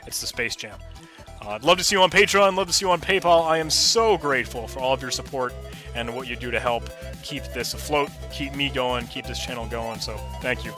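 A man's voice: medium pitch, brisk, pushed and bright, faint music behind. A young man on a headset, casually walking through a coding challenge in a chatty, enthusiastic, rambling way. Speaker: tech tutorial host. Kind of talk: casual screen-recorded walkthrough.

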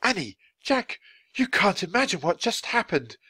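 A man voicing an excitable, fervent male writer in a storybook, exclaiming and stammering when overwhelmed. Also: high voice, normal speed, no room sound, pitched above the performer's own voice. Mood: excited, fervent.

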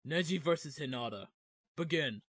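A man voicing a deadpan, unimpressed referee in a cartoon parody dub. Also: on the high side, normal speed, very noisy, close to an everyday voice. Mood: dry, bored. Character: deadpan male exam referee in anime parody.

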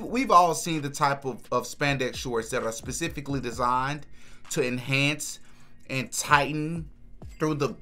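A man's voice: fairly high pitch, sharp tone. A young man hosting a reaction video in a small room, giving casual, opinionated commentary and repeating words for emphasis. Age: young adult. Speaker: host of a reaction channel. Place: small furnished room indoors.

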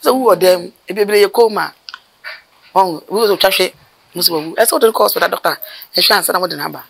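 Middle-aged Ghanaian woman, mortuary worker; rapid, animated storyteller, recorded outdoors on camera. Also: on the low side, vocal fry, husky. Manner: animated, gesturing with her hands, rapid bursts of speech. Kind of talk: storytelling interview. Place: outdoors by a building wall.

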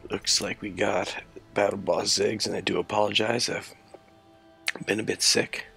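A sick man with a scratchy, rough voice commentates his game in a slow, low-key way. His voice is very deep and heavily gravelly, yet bright and forceful in tone. Game music plays faintly behind him. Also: flat delivery. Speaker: gameplay commentator. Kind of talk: casual gameplay commentary.

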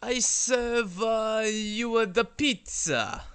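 A man voicing a shady, hot-tempered pizzeria owner with an exaggerated fake Italian accent and halting, broken English. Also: high-pitched, fairly bright tone, smooth, heavily acted. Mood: irritated. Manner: hammy, halting broken English with filler syllables. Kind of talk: comic character acting.

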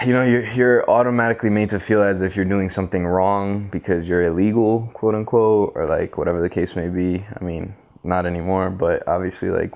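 Deep-voiced young man, halting and thoughtful. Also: gravelly, darkish tone. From Costa Rica, later United States. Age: 20s. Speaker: interview guest, photographer and visual artist. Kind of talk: reflective interview answers. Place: living room, indoors on a sofa. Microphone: clip-on lapel mic.

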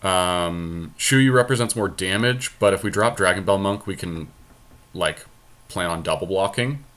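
A man's voice: low voice, a little slow, fairly bright tone. A man giving casual gameplay commentary, thinking aloud in informal slang as he co-hosts. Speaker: podcast co-host playing a card game.

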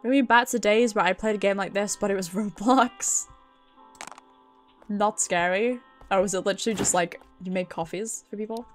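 A woman's voice: medium pitch, fairly even intonation, normal speed, faint music behind. A British woman doing casual, chatty game stream commentary, swearing freely and reacting aloud as she plays.

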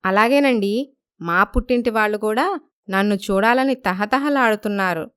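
A woman playing an emotional, insistent young wife in an animated moral story, given to reproach. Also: mid-range voice, normal speed, clean tone, no room sound, clean studio sound, natural voice. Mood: agreeable.

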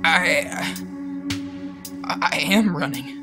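An actor playing a troubled, volatile teenage boy in an audio drama, speaking in a halting, repetitive, distraught way. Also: on the high side, creaky, heavily acted. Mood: shaken, distraught. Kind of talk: emotional audio drama acting. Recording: loud music behind the voice.

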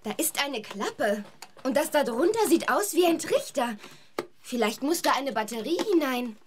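An actress playing a bright, determined, quick-thinking young girl in a children's audio drama. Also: sits high, animated, noisy background. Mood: eager explaining.

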